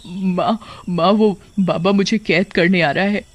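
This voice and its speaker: A man's voice: high voice, fairly even intonation, a little slow. A man voicing a lovestruck young female witch who pleads earnestly in a horror story. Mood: pleading. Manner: earnest, imploring.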